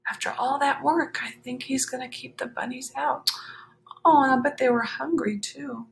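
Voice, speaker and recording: A woman reading a children's picture book aloud expressively, with dramatic drawn-out phrasing and chatty asides. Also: high voice, fairly even intonation, vocal fry, poor audio. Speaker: children's story reader.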